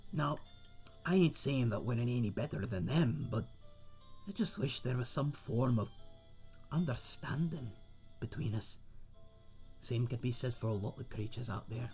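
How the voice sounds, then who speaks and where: A man's voice: mid-range voice, animated, a little mellow, faint music behind, close to an everyday voice. A man playing a friendly, rambling tavern owner and seasoned adventurer, telling tales to one listener in a folksy, chatty way in a fantasy audio roleplay. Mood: wistful, reflective. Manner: chatty, folksy, dramatic pauses, calls listener mate.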